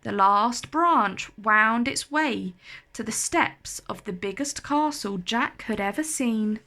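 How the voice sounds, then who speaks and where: A woman reads a children's story aloud. Her delivery is slow, animated and carefully enunciated. The voice is bright and sits a little high.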